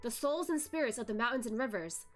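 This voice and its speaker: A woman voicing a sharp-witted, teasing young male investigator who questions pointedly. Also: fairly high pitch, expressive, fast talker, faint music behind, natural voice. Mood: reasoning.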